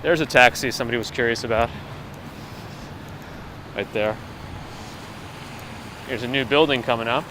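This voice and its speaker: A New man talks casually into a phone mic on a gimbal as he walks a city street. His voice sits at a middle pitch for a man. Traffic and street noise run clearly behind him. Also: normal speed, pauses often. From New York. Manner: relaxed, chats with viewers while walking. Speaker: walking tour live streamer. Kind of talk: casual live-stream narration. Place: outdoors on a city street in winter. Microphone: gimbal-mounted phone mic.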